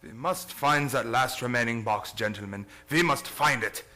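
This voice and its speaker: An actor playing an urgent, commanding vampire-hunting doctor in a radio drama, with formal, insistent phrasing. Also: male voice, mid-range voice, moderate pace, sharp tone, natural voice. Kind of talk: old-time radio drama acting.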